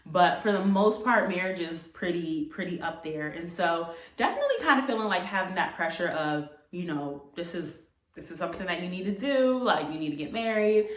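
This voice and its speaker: A young American woman vlogger speaks in a fairly low voice, sharing her story in a reflective, conversational way. She repeats phrases for emphasis. The sound is quite echoey, picked up some distance from the microphone. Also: expressive, a little fast. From United States. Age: late 20s. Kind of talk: casual personal storytelling to camera.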